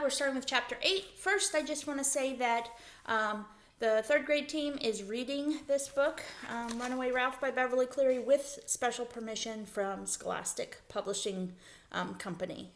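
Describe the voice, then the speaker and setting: A woman schoolteacher leading a read-aloud lesson for children, explaining things simply and putting questions to her listeners. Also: high voice. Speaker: elementary school teacher.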